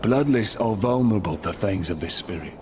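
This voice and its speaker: A calm, knowing guide of the underworld, acted by a man in a game. He speaks low and evenly, and noisy battle ambience fills the background. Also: fairly quick, lightly acted. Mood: grave. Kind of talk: game cutscene voice acting.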